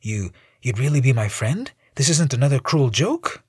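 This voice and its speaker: A man voicing a despairing, lonely man on a ledge who talks in a whiny, pleading voice that climbs high. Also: big pitch swings, normal speed, a little mellow, husky, dry recording, studio quality. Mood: hopeful.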